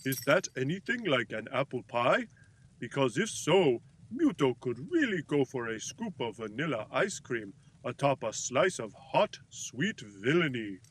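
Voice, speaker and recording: A man's voice: medium pitch, very animated, unhurried, a little hiss or hum. A man voicing a naive, cheerful, sweet-toothed creature who speaks of himself in the third person in a comedic audio drama. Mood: sweet, delighted.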